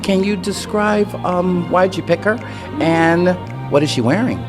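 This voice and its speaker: A man's voice: high-pitched, measured pace. A man interviewing a designer at a fashion event, effusive and gushing with praise. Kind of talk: enthusiastic interview questions. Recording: music playing behind. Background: music.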